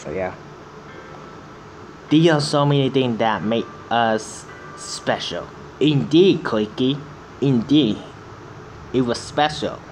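A seventeen-year-old man from Vietnam, reacting casually in halting English and repeating phrases. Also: mid-range voice, darkish tone, faint music behind, low-quality recording. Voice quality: non-native, halting English. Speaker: reaction video host.

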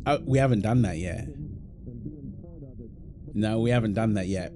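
A man's voice: fairly low pitch, measured pace, noisy background, close to an everyday voice. A man playing a playful, doting husband in an intimate audio roleplay, teasing and affectionate, full of exclamations. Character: playful, doting husband apologizing to his pregnant wife.

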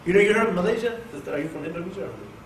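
A man from Oklahoma giving an informal Quran class to an audience in a mosque, talking in a jokey, animated way and turning to people in the room. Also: high voice, rapid-fire. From Oklahoma, USA. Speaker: Quran teacher. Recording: echoey room, distant mic, faint hiss.

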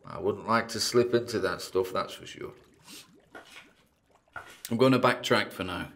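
A spooked ghost hunter talking into his tape recorder, real low and croaky, horror audio drama. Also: a little slow, a bit bright, slight background noise. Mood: disgusted, uneasy. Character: uneasy male paranormal investigator narrating his tape log. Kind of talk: horror audio drama, first-person found-tape monologue.

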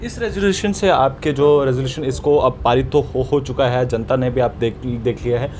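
Medium-pitched male reporter. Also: moderate pace, a little mellow. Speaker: news reporter, interviewer. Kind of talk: local TV news interview. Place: small indoor office, seated on a sofa. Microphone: handheld reporter mic. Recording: light background room noise.

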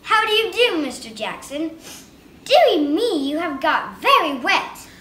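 A woman putting on a prim squeaky mouse lady. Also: very animated, unhurried, a bit bright, full character voice. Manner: prim, scolding, house-proud. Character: fussy, tidy little female woodmouse. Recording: roomy with faint hiss.

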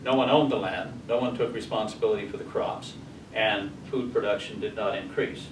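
A man's voice: lowish voice, steady tone, a little fast. Male American speaker, 60s, emphatic lecture delivery, distant mic with background noise. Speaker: conference speaker. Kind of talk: slide presentation lecture. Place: hotel conference room, indoors. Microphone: far camera. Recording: distant camera mic, echoey, noisy room.

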